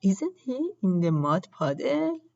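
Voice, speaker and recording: A woman's voice: medium pitch, very animated, takes it slowly, vocal fry, darkish tone, lo-fi sound, full character voice. A woman voicing a plaintive, anxious small child in a children's storybook.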